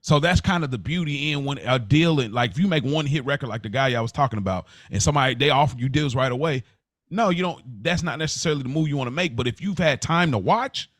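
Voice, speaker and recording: A man's voice: medium pitch, fast talker, fairly bright tone, no room sound, studio quality. A man co-hosting a podcast in casual chat, repeating phrases as he argues his point with examples. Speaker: podcast co-host.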